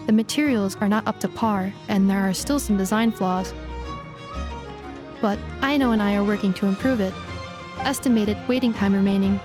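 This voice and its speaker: A woman's voice: mid-range voice, natural voice. A woman voicing a precise, polite female android helper in a video game cutscene, formal, literal and clipped. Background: game music.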